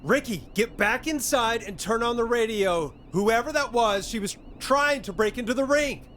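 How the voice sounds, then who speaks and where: A man acting a steady, helpful small-town radio DJ in a video game, with a composed delivery. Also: very high voice, normal speed, slight background noise. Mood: urgent.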